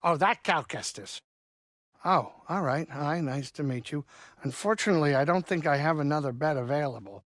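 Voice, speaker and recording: A man voicing a gruff but caring small alien saloon keeper in a video game, with a blustery, folksy, wisecracking delivery. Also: on the high side, normal speed, fairly bright tone, no room sound, clean studio sound, lightly acted. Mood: warm, wry. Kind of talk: video game character dialogue.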